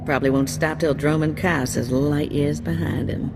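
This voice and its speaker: A woman's voice: sits low, fairly even intonation, normal speed, lightly acted. A woman voicing a shrewd, folksy bounty handler who briefs a hunter in plain slangy speech. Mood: briefing. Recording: game audio with music.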